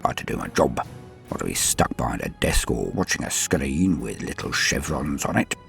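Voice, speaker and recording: A man voicing a centuries-old space-marine sergeant, stoic, proud and dying, in a solemn, halting audio drama monologue with a gravelly voice. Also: very animated, a bit bright, soft background music, exaggerated voice. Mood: fading, resigned. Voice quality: very deep gravelly voice. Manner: solemn, halting, dramatic delivery with swings between murmur and force. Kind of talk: scripted first-person audio drama monologue.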